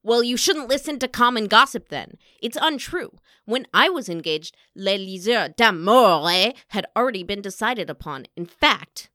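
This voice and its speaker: A woman's voice: mid-range voice, animated, normal speed, a bit bright, no room sound, studio quality, close to an everyday voice. Woman playing an earnest, pleading young singer. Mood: indignant. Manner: pleading, protesting. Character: earnest young female opera singer, devoted, wounded, stubborn. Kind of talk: audiobook character acting.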